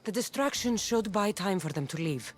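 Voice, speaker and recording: A woman's voice: mid-range voice, animated, normal speed, a little hiss or hum, close to an everyday voice. An actress playing a confident, wry Greek warrior woman in a video game, with a firm, decisive delivery and a Greek accent. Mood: resolute. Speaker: player character. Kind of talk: cinematic game dialogue.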